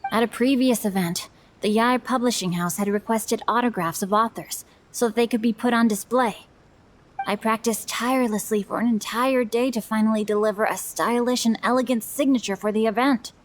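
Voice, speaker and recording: A woman's voice: on the high side, steady tone, normal speed, slight background noise, natural voice. A woman voicing a refined, easily flustered young male novelist in a video game, speaking formally and anxiously. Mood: embarrassed, confessing.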